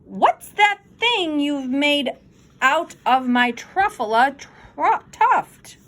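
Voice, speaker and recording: A woman reading a storybook aloud, voicing a bossy, indignant little old male forest creature with a sharp, emphatic delivery. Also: high voice, big pitch swings, very slow, pitched above the performer's own voice, exaggerated voice. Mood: indignant, pleading. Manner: bossy, emphatic, pleading outbursts.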